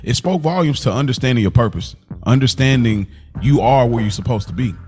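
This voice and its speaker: A man co-hosting a podcast in casual, slangy, informal talk, telling stories in an animated way. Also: mid-range voice, brisk, faint music behind. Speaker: podcast co-host. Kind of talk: casual chat.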